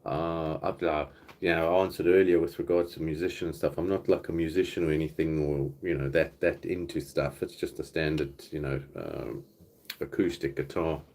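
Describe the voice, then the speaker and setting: A South African man with a very low voice hosts a relaxed livestream chat from home. He rambles easily between topics and reads out comments from the viewers. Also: normal speed. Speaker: livestream host. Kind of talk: casual live Q&A chat. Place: home, indoors.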